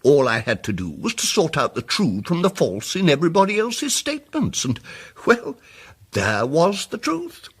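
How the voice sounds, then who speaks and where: A man acting a timid, apologetic but persistent English gentleman amateur sleuth in a radio mystery drama, speaking politely with hesitant, stammering starts. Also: on the high side, huge pitch swings, moderate pace, slightly rough, heavily acted. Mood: quietly confident.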